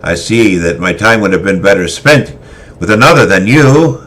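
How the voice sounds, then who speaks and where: A man's voice: lowish voice, animated, moderate pace, a bit bright, pitched above the performer's own voice. A man voicing a cold, callous, battle-hardened male swordmaster with a commanding manner. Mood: stern, commanding. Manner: commanding, stern.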